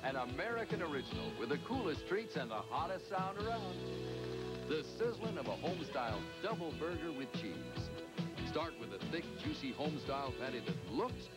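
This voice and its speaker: A man pitches fast food in an upbeat scripted read. His voice is a little high and swings freely, with loud music behind it. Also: very animated, low-quality recording. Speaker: commercial spokesman. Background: loud commercial music.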